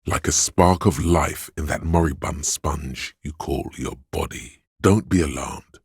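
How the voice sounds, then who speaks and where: A very deep, husky inner tempter. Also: male voice, measured pace, darkish tone, no room sound, clean studio sound, full character voice. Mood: coaxing, excited. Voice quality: gravelly, husky bass. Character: seductive inner voice of addiction, male, indulgent and coaxing. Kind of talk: dramatic video game narration.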